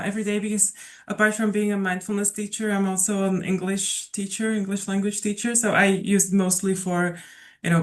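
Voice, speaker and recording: A woman teacher sharing how she works in a casual online discussion, friendly and a little hesitant. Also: on the low side. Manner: friendly, hesitant, with fillers and repeats. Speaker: mindfulness and English teacher.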